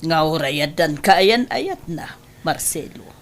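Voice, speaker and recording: A woman's voice: low-pitched, lively intonation, a little slow. A middle-aged woman with an Ilocano accent playing a scheming, disapproving mother in an audio drama. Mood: calculating. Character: scheming, wealthy mother, disapproving of daughter's boyfriend.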